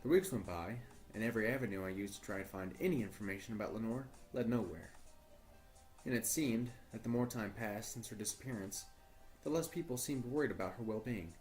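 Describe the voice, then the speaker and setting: A man's voice: on the low side, darkish tone, natural voice. Man acting as earnest, troubled journalist over music. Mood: grave, earnest. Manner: deliberate, formal, storytelling delivery. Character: earnest, troubled male journalist recording his search for missing partner. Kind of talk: first-person horror audio drama monologue.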